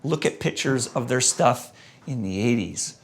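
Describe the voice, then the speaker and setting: Male show host, mid-pitch, gravelly, energetic, a bit hoarse, reads comments on air. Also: unhurried, a little hiss or hum. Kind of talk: live-stream debate, reading viewer comments.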